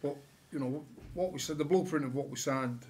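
A man, a rugby coach answering questions at a post-match press conference with relaxed, halting answers full of fillers. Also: on the high side, slight background noise. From England. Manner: relaxed, many fillers and restarts. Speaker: rugby league head coach. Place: press room with sponsor board behind.

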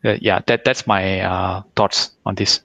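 A man's voice: low voice, steady tone, unhurried, gravelly, sharp tone, no echo. A man answering questions as a guest speaker, explaining his view over a headset on a video call. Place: indoor room, video call.

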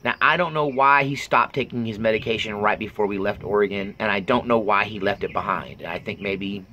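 A middle-aged man with a fairly low voice that has a sharp, forceful edge. He talks off the cuff in a halting, earnest way, as if confiding in his viewers, over faint background noise. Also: lo-fi sound. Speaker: vlogger. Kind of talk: personal update monologue, unscripted talk.

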